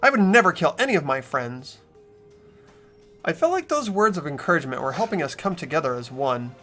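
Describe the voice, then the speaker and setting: A man's voice: a little high, sing-song, fairly quick, sharp tone, soft background music. A young man hosting a game playthrough, reading story narration aloud and chatting casually. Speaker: host and lead reader of a game playthrough. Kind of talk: voiced game narration mixed with casual chat.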